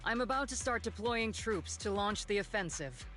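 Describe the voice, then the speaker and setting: A woman's voice: medium pitch, expressive, a bit bright, soft background music, natural voice. Composed, authoritative military colonel played by a woman. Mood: composed, resolute. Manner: formal, deliberate, authoritative.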